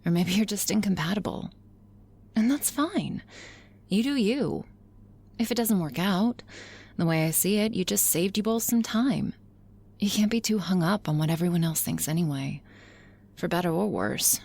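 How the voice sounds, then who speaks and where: A woman's voice: on the low side, creaky, darkish tone, slightly rough. A woman playing a blunt, confident female office boss who talks frankly and wryly in an intimate ASMR roleplay. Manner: frank, profane, wry, musing with hesitant pauses. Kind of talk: intimate ASMR roleplay, conversational monologue to listener.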